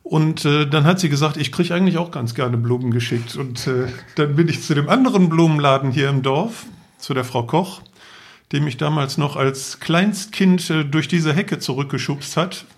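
Male podcast guest, fifties, fairly high creaky voice, relaxed storytelling. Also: moderate pace. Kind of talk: casual anecdotal storytelling. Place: living room.

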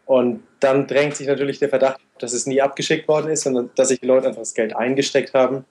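A man's voice: mid-range voice, fairly even intonation, fast talker, darkish tone, slight background noise. A young man in his twenties answers interview questions at length. He talks steadily and goes into a lot of detail, with a mid-range voice. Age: 20s. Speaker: interview guest, motorcycle traveler.

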